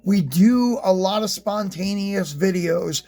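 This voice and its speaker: Slightly hoarse man, high voice. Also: takes it slowly. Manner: relaxed, rambling, many ums and restarts. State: slightly hoarse, losing his voice. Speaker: die-cast collector channel host. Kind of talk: casual unboxing and review talk.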